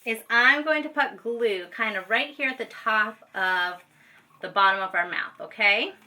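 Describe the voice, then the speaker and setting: A woman's voice: on the high side, unhurried, sharp tone. A woman teacher giving step-by-step craft instructions to children in an informal, patient way, often checking in as she goes. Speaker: special education teacher. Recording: distant microphone.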